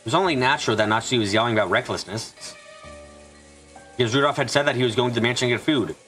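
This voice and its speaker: A man reads a translated visual novel script aloud for a fan dub. His voice sits at a medium pitch and moves quickly through the lines. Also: fairly bright tone, soft background music, low-quality recording. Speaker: streamer and fan-dub reader.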